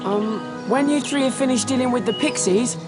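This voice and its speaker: A man's voice: extremely high pitch, moderate pace. A young male actor playing a timid, helpful, awkward schoolboy wizard in a video game. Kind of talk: video game character acting. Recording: loud music behind the voice.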